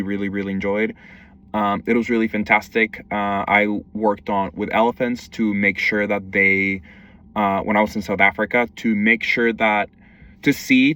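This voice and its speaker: A man's voice: lowish voice, fairly bright tone. Young man from Mexico, distant mic. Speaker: wildlife conservation educator. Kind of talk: self-introduction video, casual monologue.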